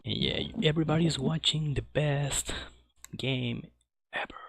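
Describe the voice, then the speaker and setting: A man who speaks English as a second language, giving halting live commentary as he speedruns a video game. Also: fairly high pitch, vocal fry, background noise. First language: not English. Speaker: speedrunner commentating own play. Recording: loud game music behind the voice. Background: video game music.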